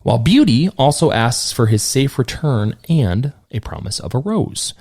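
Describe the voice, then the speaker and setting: A man's voice: medium pitch, big pitch swings, normal speed, darkish tone, slightly rough. A man hosting a casual podcast, retelling an old fairy tale with joking asides. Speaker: podcast host, storyteller. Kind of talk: casual storytelling chat.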